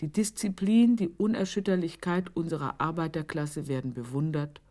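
An actress in a radio drama playing a woman who reads an affectionate, florid letter aloud, deliberately, savouring each phrase with pauses. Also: low voice, fairly even intonation, mellow, close to an everyday voice. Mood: earnest, proud.

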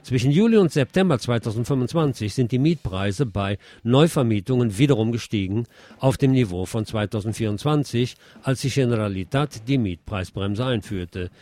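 A man's voice: medium pitch, expressive, moderate pace, dark tone, no room sound. Male radio news presenter, wry scripted delivery.

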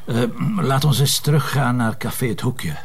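An actor playing a gruff, impatient, sarcastic but considerate Flemish police commissioner in a naturalistic TV drama. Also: male voice, mid-range voice, normal speed. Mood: patient, weary.

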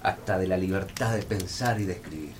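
A man's voice: sits low, a little fast, natural voice. An actor playing an earnest revolutionary statesman in a colonial radio drama. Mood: earnest, resolute. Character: earnest male revolutionary statesman ready to die. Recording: noisy ambience with birdsong.

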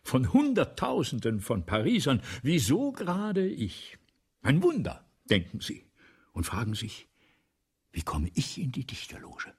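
An actor playing a vain, ailing, forgetful old writer in a radio play, with a theatrical, pompous, halting manner and a breathy voice that often drops toward a whisper. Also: male voice, mid-range voice, huge pitch swings, exaggerated voice. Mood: condescending, self-important. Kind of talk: 1970s German radio play acting.

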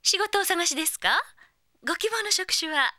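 Perky polite office clerk, bright lilting voice, dry close sound, audio drama. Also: female voice, very high-pitched, lively intonation, moderate pace, no room sound, heavily acted. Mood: perky, puzzled. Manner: chirpy customer-service politeness. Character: perky, apologetic female job-centre clerk, politely helpful. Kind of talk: comic audio drama acting.

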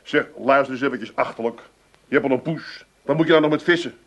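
An actor playing a bewildered, exasperated grown son in a comic radio play, sarcastic and often stammering out repeats. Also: male voice, medium pitch, fast, lightly acted.

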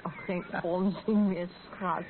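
An actress playing a loving, practical wife in a Dutch radio play, affectionate and emotional. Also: female voice, lowish voice, fairly even intonation, slow pace. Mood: curious, fond. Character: loving, practical wife, a hospital worker expecting a baby. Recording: noisy, tinny old radio recording.

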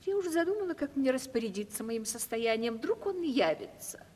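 An actress playing a coquettish, capricious aging landowner lady in a radio play, with affected, drawn-out theatrical delivery. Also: sits high, big pitch swings, a little mellow, husky, full character voice. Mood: fretful, confiding. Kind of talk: theatrical 1970s Russian radio play acting. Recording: stage-like reverberant archival radio drama recording.

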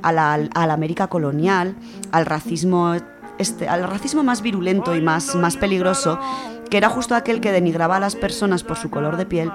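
A person with an accent from Spain giving an informal, colloquial book review on the radio, explaining things in a conversational way. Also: medium pitch. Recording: music under the voice. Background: music.

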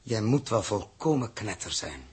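A scornful spaceship captain in an old radio play. His husky voice swings widely in pitch as he lectures. He is stern, exasperated and sarcastic. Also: sing-song, a little slow, a little mellow, full character voice. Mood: sarcastic, accusing. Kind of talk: 1960s Dutch radio drama acting.

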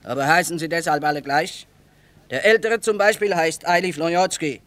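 A woman's voice: sits low, normal speed, a bit bright, no room sound. An actress playing a shrewd, defiant middle-aged mother who peddles in wartime, blunt and dry-witted, in a German radio play. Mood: defiant, tart.

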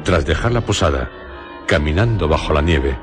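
A man narrates a radio play with a Castilian accent. His voice is extremely deep, and he delivers each phrase slowly and solemnly. Loud music plays behind him throughout. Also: expressive. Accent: Spain. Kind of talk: scripted radio drama narration. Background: prominent music.

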